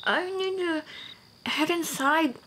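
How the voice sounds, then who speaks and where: A woman voicing a shy, teasing female dullahan on a date in an ASMR romance roleplay, speaking softly and hesitantly, often near a whisper. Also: extremely high pitch, slow, a little hiss or hum, exaggerated voice, low-quality recording. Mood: flustered, tender. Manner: soft, hesitant, intimate, often dropping to a whisper.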